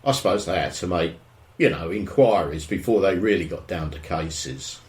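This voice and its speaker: An actor playing a nosy, grumbling suburban husband with a morbid streak in an audio drama, speaking matter-of-factly with a British accent. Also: male voice, low voice, normal speed, natural voice. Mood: opinionated. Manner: grumbling, opinionated, matter-of-fact. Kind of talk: audio drama dialogue, naturalistic.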